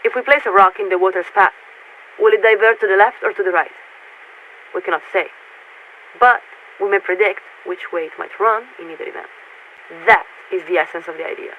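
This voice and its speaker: A woman playing a polite, formal military radio signaler in a video game, speaking in a measured, patient, officious way through a radio filter. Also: medium pitch. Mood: patient explaining. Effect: radio transmission filter. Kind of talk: scripted game voice acting. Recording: tinny narrow-band radio with static.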